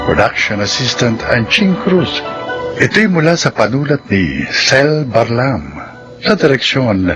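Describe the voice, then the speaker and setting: A man announces a radio drama in formal, scripted style. His mid-range voice moves with dramatic, animated intonation over clearly audible music. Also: mid-range voice. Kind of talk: scripted narration.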